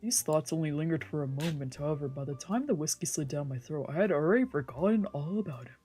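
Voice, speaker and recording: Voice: medium pitch, expressive, quick pace, warm tone. A streamer reading a visual novel aloud as narration, dramatically, with casual asides. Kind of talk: let's play narration with improvised character voices. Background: faint game music.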